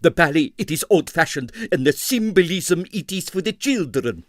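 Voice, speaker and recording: A man's voice: a little high, expressive, moderate pace, no echo, clean studio sound, voice pushed up, natural voice. A man voicing a vain, petulant male choreographer speaking broken English.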